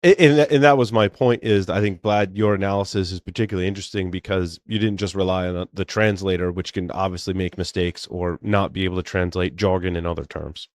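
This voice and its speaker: A man hosting a casual tech podcast, talking in an animated way with many restarts and asides. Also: sits low, a little fast, few pauses, no echo, clean studio sound. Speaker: podcast host.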